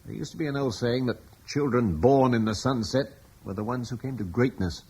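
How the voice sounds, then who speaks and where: An actor playing a thoughtful, idealistic older father, speaking in a reflective, persuasive way with a husky voice. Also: mid-range voice, fairly quick, darkish tone, close to an everyday voice, low-quality recording. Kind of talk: radio drama acting.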